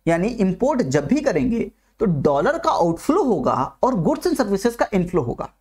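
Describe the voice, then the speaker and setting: A man's voice: high voice, very animated, unhurried, darkish tone, breathy edge. Man teaching, repeating points and quizzing. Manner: repeats points and asks listeners questions; mixes English terms into talk. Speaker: economics teacher. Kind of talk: exam-prep video lecture.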